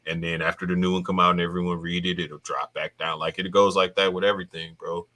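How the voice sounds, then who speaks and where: A man in his thirties with a very deep, low voice talks in a relaxed, slangy way. He sounds like a podcast host shooting the breeze, dropping "bro" into nearly every thought. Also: fast talker. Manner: laid-back slangy talk, says "bro" often. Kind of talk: casual chat.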